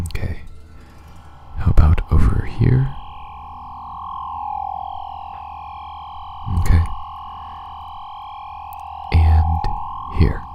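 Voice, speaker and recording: Very deep, slow-talking male alien, acted by a man. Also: gravelly, dull audio, noisy background, heavily acted. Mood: clinical, gentle. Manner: halting, soft-spoken, often dropping into near whisper. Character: peculiar, calm, reassuring male alien spaceship pilot with a tail. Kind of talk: ASMR roleplay addressed to the listener.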